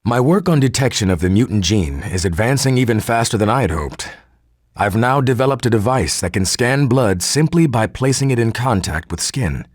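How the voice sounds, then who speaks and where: An actor playing a formal, articulate male geneticist recording an audio log for a game, measured and husky. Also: medium pitch, lively intonation, no room sound, studio quality. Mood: earnest, hopeful. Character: earnest male geneticist turning cold and militant.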